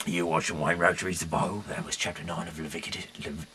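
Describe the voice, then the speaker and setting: A man with a gravelly voice reading scripture aloud as a host, halting at times and stumbling over words and repeating phrases. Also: low voice, little pitch movement, pushed and bright, slight background noise. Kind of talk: read-aloud Bible narration with casual slips.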